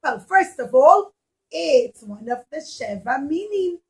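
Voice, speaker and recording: A woman acts out a kindly grandmother of seventy in a children's puppet show. Her voice is high and slow, and she explains things patiently, leaning on key words. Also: sing-song, full character voice. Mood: patient, instructive.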